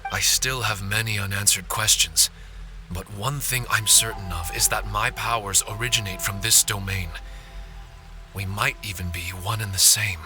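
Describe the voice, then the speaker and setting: A man's voice: on the low side, fairly even intonation, moderate pace, sharp tone, soft background music. A man playing an earnest, apologetic amnesiac spirit guardian in a video game, speaking formally and politely.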